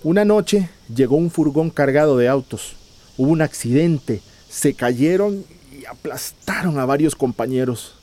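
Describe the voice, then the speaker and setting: An actor playing a desperate, worn-down migrant worker in a radio drama, earnest and pleading. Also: male voice, on the high side, animated, unhurried, mellow, soft background music. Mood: pained recounting.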